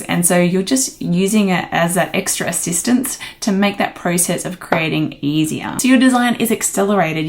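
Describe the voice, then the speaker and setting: A woman talking through a design software tutorial in a casual, friendly, step-by-step way. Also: medium pitch.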